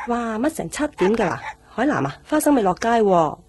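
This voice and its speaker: A woman's voice: a little high, sing-song, brisk, darkish tone, a little hiss or hum. An actress with a Hong Kong accent playing a protective middle-aged mother in a radio drama, homely and nagging. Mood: fussing, homely. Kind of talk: Cantonese radio drama acting.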